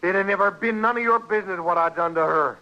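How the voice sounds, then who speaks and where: A defiant frontier villain in an old radio western, hot-tempered and blustering. His voice is fairly high and sharply forceful, with country phrasing, on a muffled vintage recording. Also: quick pace. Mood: menacing, defiant. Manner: blustering, rustic speech. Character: defiant hot-tempered drunken-reputed frontier wife killer, cornered.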